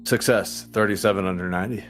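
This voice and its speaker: A man with a fairly low voice takes part in a tabletop game as a player. He talks casually, over faint music. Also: steady tone, fast, a bit bright.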